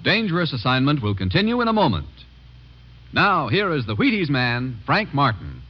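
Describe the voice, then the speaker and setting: A man's voice: on the high side, huge pitch swings, normal speed. A man proclaiming dramatically as a 1950s radio show announcer. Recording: muffled vintage radio recording, loud music.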